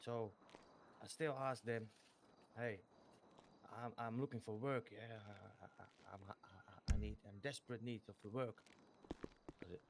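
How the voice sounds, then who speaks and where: A man's voice: fairly low pitch, steady tone, creaky, background noise. Man playing a rambling stammering civilian. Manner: halting and rambling, full of stammered repeats. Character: hapless male civilian with a foreign accent. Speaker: witness giving a statement. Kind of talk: roleplay storytelling in an online game.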